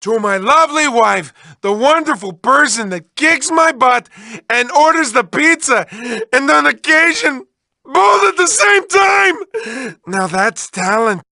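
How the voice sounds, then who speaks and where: Shrill, manic cartoon mad-scientist villain. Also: male voice, animated, slow pace, vocal fry, sharp tone, no room sound, heavily acted. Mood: theatrically gushing. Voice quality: high-pitched, shrill. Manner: theatrical, hammy, erratic outbursts. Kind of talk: comic video game character voice acting.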